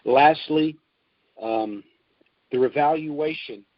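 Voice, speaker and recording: An older American man hosting a conference call as its spokesman, giving an informal business briefing in a measured way with emphasis on key points. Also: fairly high pitch, no echo. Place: at home, recorded over a conference call. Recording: dull, dry phone conference audio.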